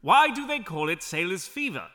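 An actor playing a pompous, imperious young judge in a fantasy audio drama, commanding and officious. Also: male voice, high voice, big pitch swings, normal speed, bright, forceful tone, exaggerated voice.

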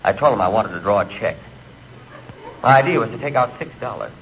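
A man's voice: lowish voice, expressive, a little fast. An actor playing a hapless, melancholy man who narrates his humiliations in a 1940s radio comedy. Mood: rueful, rattled. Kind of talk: comic first-person narration, 1940s radio. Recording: muffled, noisy 1940s radio recording.

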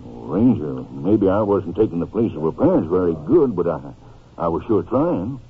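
An actor playing a humble, regretful older Texas rancher in a 1950s radio drama, speaking in a folksy, halting American voice. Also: male voice, low-pitched, very animated, breathy edge, heavily acted. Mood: defensive, hurt. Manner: folksy, halting drawn-out delivery. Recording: muffled, noisy old radio recording.

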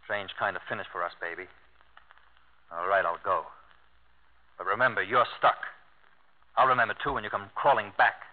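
A man's voice: fairly low pitch, fairly even intonation, moderate pace, long pauses, close to an everyday voice. An actor playing a cocky, hard-boiled young lawyer in a 1950s radio drama, speaking in clipped tough-guy slang. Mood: tough, jealous. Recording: tinny old radio broadcast recording.

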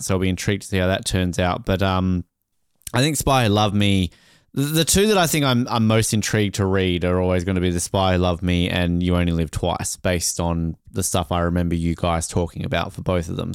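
A man with a fairly low, clear voice speaks casually as a podcast co-host, recorded close and dry without room sound. The talk is informal and conversational. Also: no echo. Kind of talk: casual chat.

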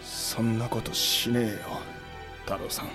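A man's voice: on the low side, level tone, a little slow, over loud music, natural voice. A male actor playing a blunt, terse, cool-headed samurai warrior commander in a historical audio drama. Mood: determined.

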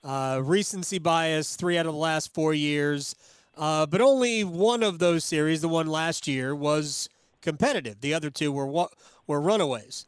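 A man's voice: a little high, moderate pace, smooth, no room sound. A man hosting conversational sports talk, recalling things in an eager, opinionated, rambling way. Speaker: sports show host.

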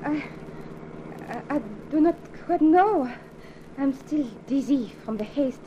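A young foreign damsel from a 1950s radio thriller, high-voiced, with formal and hesitant phrasing. The recording is old and dull. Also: female voice, animated, slow, a little hiss or hum. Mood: dazed, grateful. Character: young foreign captive beauty, wary then grateful. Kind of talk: 1950s radio drama acting.

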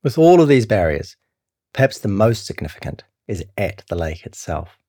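A man with a New Zealand accent reading a scripted long-form news feature as narrator, in a measured, serious way. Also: on the low side, very animated, a little mellow, no echo, clean studio sound. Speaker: narrator of a long-read journalism podcast.

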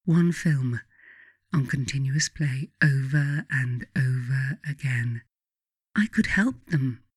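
A woman with a British accent reading her own self-help book as scripted narration, in measured, deliberate phrases with pauses. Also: very deep voice, breathy edge, no echo, studio quality.

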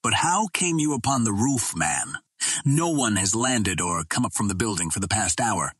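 A man voicing a stern, suspicious night sentry, husky. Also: fairly low pitch, sing-song, normal speed, fairly bright tone, no room sound, studio quality, full character voice. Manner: stern, challenging. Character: suspicious, commanding male sentry on night guard.

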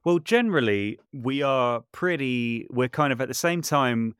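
A man's voice: medium pitch, animated, a little slow, a bit bright, clear, resonant tone, no room sound, studio quality. A man speaking casually as an interview guest, thoughtful and self-deprecating, often circling back to rephrase what he says. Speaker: interview guest, composer and voice artist. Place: small brick outbuilding home studio.

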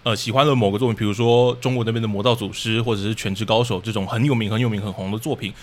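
A man's voice: lowish voice, steady tone, rapid-fire. A man with a Taiwanese accent answering as an interview guest, explaining things casually in careful, qualified statements. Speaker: podcast guest, radio drama troupe leader.

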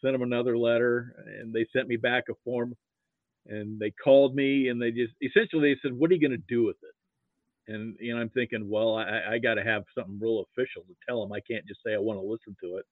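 Narrow phone-like audio, dry, american guy telling stories casually, halting, repeats himself. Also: mid-range voice, steady tone, no echo. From Nebraska, US. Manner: halting, repeats words as he starts sentences. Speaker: podcast guest, sports archivist. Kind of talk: casual anecdotal interview talk. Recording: muffled, phone-like narrow-band line.

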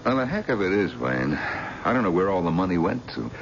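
An actor playing a selfish, pushy husband, breezy and wheedling, in a 1970s radio drama. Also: male voice, deep voice, sing-song, a little fast. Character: pushy, selfish, blustering husband in money trouble. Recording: muffled, noisy old radio recording.

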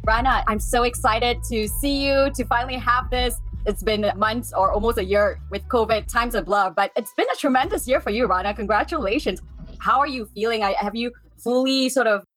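A woman's voice: fairly high pitch, a little fast, a bit bright, faint music behind, low-quality recording. A woman hosting a podcast, introducing and interviewing in a presenter's style. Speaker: podcast host.

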